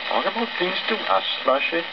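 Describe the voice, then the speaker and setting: A man's voice: high voice, expressive, brisk, pitched above the performer's own voice. A dull old record with strong crackle holds a sailor's voice read by a male storyteller. He is a credulous storybook shipmate, curious and uneasy, always questioning. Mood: uneasy curiosity.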